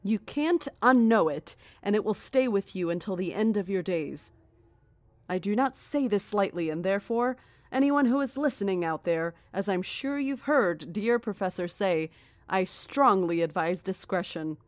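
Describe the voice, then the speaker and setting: A woman playing a serene but menacing female cult leader in a horror audio drama, speaking in a deliberate, formal, condescending and grandiose way. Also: medium pitch, expressive, dry recording, natural voice. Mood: solemn warning. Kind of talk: horror audio drama acting, live radio address.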